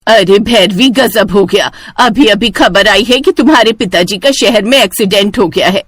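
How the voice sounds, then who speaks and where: A woman's voice: medium pitch, moderate pace, husky, no echo, clean studio sound, lightly acted. A woman voicing a cold-hearted, greedy village aunt who talks in a sly, calculating way, in a cartoon. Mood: urgent, falsely concerned. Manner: sly, calculating, falsely concerned. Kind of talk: Hindi cartoon villain acting.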